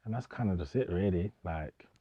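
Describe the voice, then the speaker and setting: A man's voice: deep voice, fairly quick, mellow, slightly rough, no echo, dull audio. A young man, speaking informally with slang and repetition as a DJ being interviewed. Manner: informal, slangy, repeats himself. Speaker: DJ, interview guest. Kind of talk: casual documentary interview.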